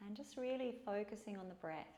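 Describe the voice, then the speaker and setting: Echoey room sound, woman, yoga teacher, calm guided meditation, soothing, unhurried phrasing with pauses. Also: medium pitch.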